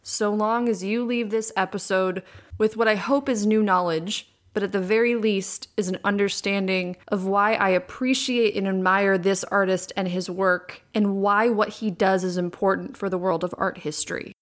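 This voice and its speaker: A young adult woman hosting a solo podcast, with a raspy voice and vocal fry worn from recording many times, talking candidly and repeating words for emphasis. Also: medium pitch, steady tone, normal speed. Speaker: podcast host. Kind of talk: casual solo podcast talk. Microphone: USB condenser microphone.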